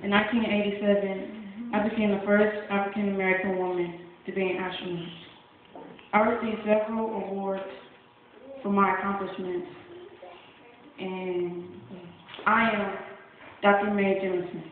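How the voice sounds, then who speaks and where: A woman giving an even recited monologue in a school play. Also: mid-range voice, normal speed, pauses often, slight background noise. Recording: echoey hall, phone-quality audio.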